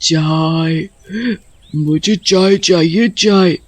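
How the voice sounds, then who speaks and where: A man plays a greedy yet helpful witch from an animated horror-comedy tale. The voice sits somewhat high and lingers over words with relish, recorded dry and close. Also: drawn-out words, no room sound. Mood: demanding. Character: tea-loving female witch living in a tree, greedy but helpful. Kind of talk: Hindi animated horror-comedy story dialogue.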